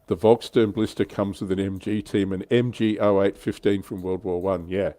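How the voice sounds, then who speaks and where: A man with a low, mellow voice talks through history like a livestream host. His delivery is informal and keen, recorded close and dry. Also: steady tone, moderate pace, dry recording. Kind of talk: casual explanatory history chat.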